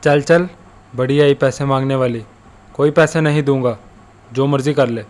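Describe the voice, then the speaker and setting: A man playing a stingy, gruff roadside eatery owner, bossy and dismissive, in an animated moral story. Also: mid-range voice, normal speed, close to an everyday voice. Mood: angry, dismissive.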